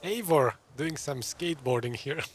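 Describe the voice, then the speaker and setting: A man's voice: on the high side, animated, moderate pace, a little hiss or hum. A man hosting a gaming video with casual, off-the-cuff commentary on the gameplay.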